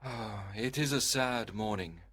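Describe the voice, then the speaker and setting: Man playing a slow, mournful lovesick youth. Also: lowish voice, a bit bright, slightly rough. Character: melancholy, lovesick young nobleman pining hopelessly. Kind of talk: storybook dramatization.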